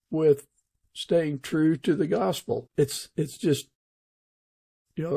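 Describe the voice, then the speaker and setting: A man's voice: fairly high pitch, gravelly, mellow, breathy edge, no room sound, studio quality. A man hosting a podcast, putting interview questions to a guest and adding short comments. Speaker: podcast host.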